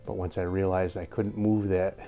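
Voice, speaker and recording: An older man with a slightly hoarse voice, rambling through a casual airgun review in a workshop and chuckling often. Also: deep voice, little pitch movement, normal speed, mellow, faint music behind. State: a little hoarse. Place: indoor workshop with tool wall. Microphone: camera at a few feet.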